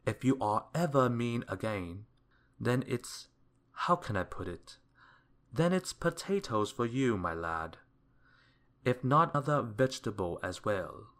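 A man's voice: mid-range voice, very animated, slow pace, a bit bright, voice pushed up, full character voice. A man voicing a wordy, dithering but kindly old wizard from a storybook, rambling politely and hesitantly. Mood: stern.

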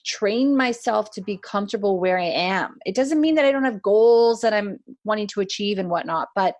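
A woman's voice: medium pitch, animated, moderate pace, a little mellow. A woman co-hosting a podcast, chatting casually, reflective and enthusiastic. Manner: reflective, enthusiastic, mimics sing-song labeling. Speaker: podcast co-host. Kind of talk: casual conversational chat.

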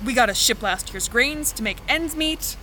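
A practical woman farmer with a high, forward, bright voice. She is plain-spoken and guarded, keeping her replies short in a naturalistic radio-play style, with faint outdoor machinery noise around her. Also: lively intonation, moderate pace. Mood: guarded, reluctant. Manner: terse, plain-spoken, hedging politely. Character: practical, guarded woman farmer renting land, busy seeding. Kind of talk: naturalistic audio drama dialogue.

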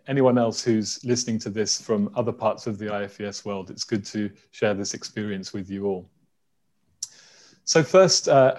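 A man of middling pitch hosts a remote conversation from home, speaking warmly and carefully. He pauses often on small fillers as he frames his questions. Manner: friendly, hesitant with many fillers. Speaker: host. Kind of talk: video call interview. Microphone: video call from home.

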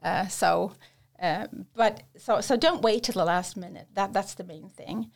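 A woman's voice: mid-range voice, a little slow, gravelly. A woman working as an academic English tutor, answering interview questions in a hesitant way with many fillers and restarts.

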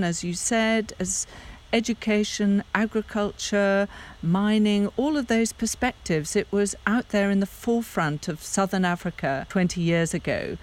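A woman's voice: mid-range voice, measured pace, a little mellow. A middle-aged woman from Zambia co-hosting a podcast, giving emphatic, argumentative political commentary. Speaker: podcast co-host, Africa risk analyst.

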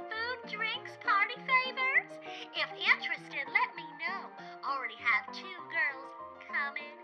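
Someone doing tiny super high radio ad voice, swoopy salesy announcer, loud music over dull sound. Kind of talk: old-time radio commercial parody.

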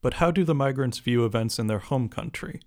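A man reading a scripted radio news report in a measured, even way. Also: medium pitch.

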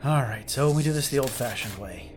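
A man playing a rattled, sarcastic starship chief engineer who mutters haltingly to himself in an audio drama. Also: medium pitch, music in the background, natural voice. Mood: dazed, wry. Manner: halting, self-talking, wry.

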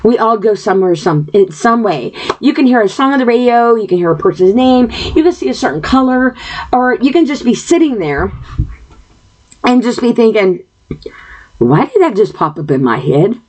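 A woman at home talking straight into her computer microphone, earnest and passionate, drifting from point to point. She fills her pauses with ums and keeps clearing her dry throat. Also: fairly high pitch, big pitch swings, darkish tone, low-quality recording. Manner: earnest, sidetracked, frequent fillers and throat clearing. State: dry mouth. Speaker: podcast host. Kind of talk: rambling personal monologue.

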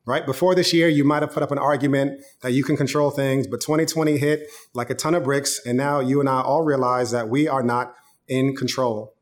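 A man's voice: mid-range voice, steady tone, a little fast. A man in his thirties, a pastor giving an online sermon in a casual, conversational way, telling personal stories. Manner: casual, conversational preaching with storytelling.

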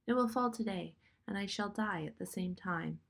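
A woman voicing a sick, weary young woman who speaks quietly, almost in a whisper. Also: mid-range voice, moderate pace, vocal fry, breathy edge. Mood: resigned. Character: weak, ill young woman.

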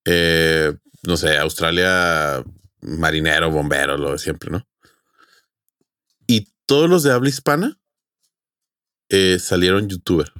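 A deep-voiced man with a Mexican accent explains things as a podcast co-host. His delivery is casual and slangy with some teasing, and he is recorded dry and close. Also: lively intonation, takes it slowly, pauses often, a bit bright, smooth, no room sound. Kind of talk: casual storytelling.

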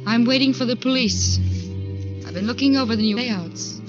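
An actress playing a jilted career woman narrating a 1940s radio thriller in a measured, restrained, bitter way. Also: a little high, over music, natural voice. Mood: numb, bitter. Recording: dull 1940s radio recording.

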